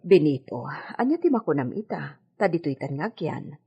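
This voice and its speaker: A woman with a Filipino accent acting a deferential, practical village household worker in a radio drama, expressive and coaxing. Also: sits low, moderate pace, breathy edge, lo-fi sound, heavily acted. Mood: chatty.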